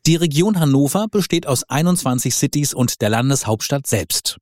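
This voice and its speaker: A man narrating a scripted radio travel report in an even, read-aloud way. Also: medium pitch, normal speed, no echo, studio quality.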